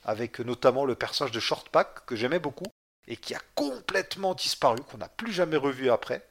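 A man's voice: medium pitch, lively intonation, brisk, fairly bright tone. A man from France chatting on a comics podcast, talkative and wry, with frequent fillers. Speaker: podcast co-host. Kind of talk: casual comics podcast chat.

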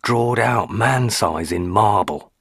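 A man's voice: fairly low pitch, takes it slowly, slightly rough, dry recording, studio quality, pitched above the performer's own voice, full character voice. A man voicing an elderly, stubborn, superstitious rustic housekeeper woman who speaks in country dialect. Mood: ominous. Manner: rustic dialect grammar, hushed ominous.

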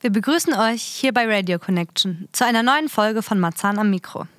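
A woman's voice: mid-range voice, lively intonation, brisk, a bit bright, no echo. A twenty-year-old woman from Berlin presenting a scripted radio magazine as host. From Berlin, Germany.

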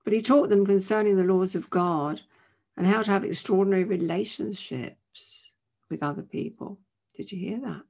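A woman, her voice croaky from a chesty cough, giving an informal, earnest sermon from her home. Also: medium pitch, normal speed, dark tone. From England. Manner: earnest, conversational, many "you know" asides. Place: indoors at home.

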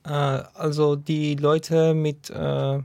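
A man interviewing a guest on the radio, speaking haltingly with many fillers. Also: a little high, flat delivery, vocal fry, a little mellow.

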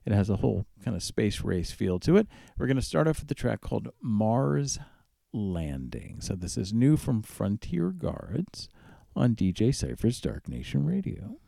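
A man's voice: lowish voice, very animated, unhurried, gravelly, dark tone, no room sound. A man presenting a music radio show as its DJ, talking casually and conversationally between tracks. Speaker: radio DJ and host.

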